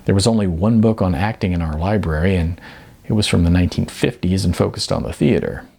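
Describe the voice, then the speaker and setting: Naive young male newcomer played by a male narrator, eager but hesitant, gravelly and husky, audiobook dialogue. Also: low voice, dark tone, heavily acted. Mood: naive, puzzled. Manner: hesitant, with stammers and pauses.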